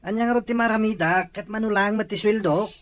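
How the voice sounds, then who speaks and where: A woman's voice: medium pitch, brisk, natural voice. A woman playing an evasive grown son, a working man, in an audio drama. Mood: defensive. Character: evasive adult son working away from family. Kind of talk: Ilocano audio drama acting.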